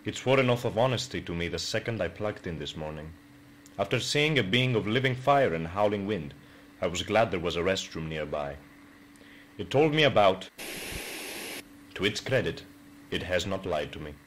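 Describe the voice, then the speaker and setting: A man's voice: low voice, animated, moderate pace, a bit bright, slight background noise. A man playing a dry, formal science officer dictating a log in an audio drama.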